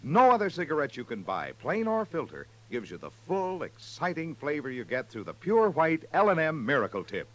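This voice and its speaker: An emphatic man selling on 1950s radio, his fairly high voice rising and falling dramatically. The read is punchy and scripted, over faint noise and hum. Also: wildly swooping pitch, moderate pace, hardly any pauses, fairly bright tone. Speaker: commercial announcer. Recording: noisy vintage radio recording with hum.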